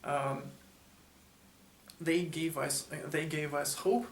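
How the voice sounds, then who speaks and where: A man in his twenties, born in Russia and raised in Ukraine, speaking English as a second language, answering interview questions haltingly with many filler sounds in a hotel room. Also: a little high, fairly even intonation, vocal fry. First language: not English. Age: 20s. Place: hotel room, seated, indoors. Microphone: camera mic from across the room. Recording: roomy, distant mic, faint room hum.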